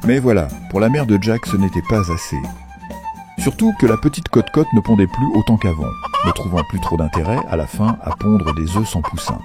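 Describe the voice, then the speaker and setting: A man's voice: on the low side, huge pitch swings, a little fast. A man narrating a children's fairy tale as a playful, theatrical storyteller. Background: music under the voice.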